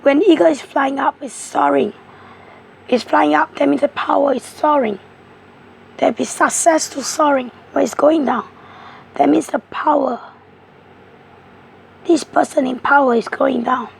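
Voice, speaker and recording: A woman with a hoarse, strained voice slowly explaining tarot cards. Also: high voice, poor audio. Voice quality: rough, strained voice. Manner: slow, halting, repetitive explaining. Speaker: tarot card reader.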